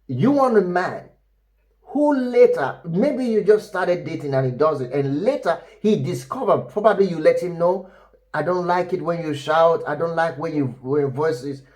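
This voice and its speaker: A man with a loud, full voice that sits fairly high for a man. He gives relationship advice in an emphatic, repetitive way and keeps checking that the listener is following. His delivery is unscripted and direct. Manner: emphatic and repetitive, with frequent "you know" and "all right?" check-ins. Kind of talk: unscripted advice talk to camera.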